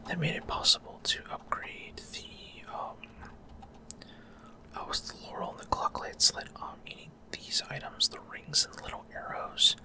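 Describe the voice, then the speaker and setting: Whispering man, ASMR gameplay commentary. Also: normal speed. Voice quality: soft unvoiced whisper. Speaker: ASMR gaming streamer.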